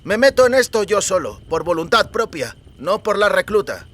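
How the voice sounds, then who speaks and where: A man acts out an educated young scribe in an audiobook. His voice is on the high side. He speaks in formal, measured sentences, earnest and stubbornly resolute. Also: sing-song, fairly bright tone, full character voice.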